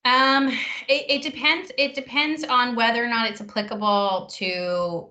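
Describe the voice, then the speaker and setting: A woman recruiter answering questions in an online workshop, measured and reassuring, pausing often to find her words. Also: on the high side, steady tone, bright, forceful tone, lo-fi sound. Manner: measured, reassuring, with frequent pauses. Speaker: recruiter leading a career workshop.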